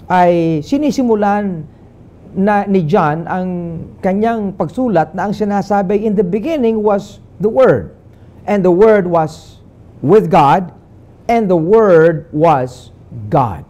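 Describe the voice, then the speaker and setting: An older man from the Philippines with a Filipino accent, preaching on television and moving between English and Tagalog with a measured preaching cadence. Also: on the high side, warm tone. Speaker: pastor. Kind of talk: televised sermon. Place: small studio set indoors. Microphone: lapel.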